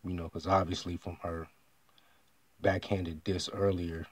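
A man from the United States talking straight to his audience about an online argument. He speaks emphatically and often clears his throat. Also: sits low, little pitch movement, a little slow, dry recording. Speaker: vlogger. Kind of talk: monologue retelling an online argument.

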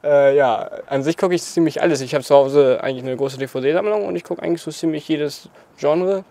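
A man's voice: a little high, a little fast, vocal fry, dark tone. Cheerful young man who laughs often. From Portugal. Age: 20s. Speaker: interviewee, choreographer. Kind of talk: casual interview. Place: outdoors in front of a graffiti wall.

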